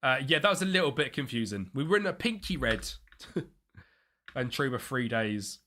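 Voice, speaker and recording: A young man with a bright, forceful voice, talking live over a game stream. He reads out chat messages and muses about tactics in a chatty, easygoing way. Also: medium pitch, very animated, normal speed, slight background noise. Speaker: streamer playing a football management game.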